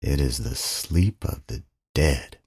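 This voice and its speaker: A man narrating a scripted first-person story in a dramatic, brooding, deliberate manner. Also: rumbling low, darkish tone, breathy edge.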